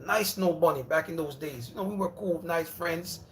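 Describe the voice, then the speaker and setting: A man hosts a casual livestream from home. His voice sits fairly high for a man. He rambles and repeats himself in a loose, friendly way, calling viewers brother and bro. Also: moderate pace, fairly bright tone, a little hiss or hum. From Jamaica. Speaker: livestream host.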